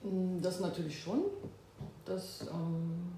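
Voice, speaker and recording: A woman in her fifties from Berlin, a guest in an interview, who talks thoughtfully with pauses and fillers. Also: lowish voice, level tone, dark tone, a little hiss or hum. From Berlin, Germany. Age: 50s. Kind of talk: oral history interview. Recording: echoey room.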